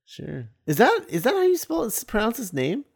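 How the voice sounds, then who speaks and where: American male podcast host, slightly high and very expressive, casual rambling chat with halting restarts. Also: gravelly, husky. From United States. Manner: rambling, halting, repeats phrases.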